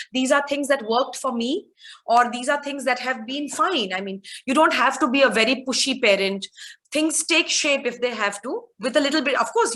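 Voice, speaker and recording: A woman's voice: a little high, fairly bright tone. A middle-aged woman author with an Indian accent, answering interview questions in a rambling way, thinking aloud and often restarting her sentences.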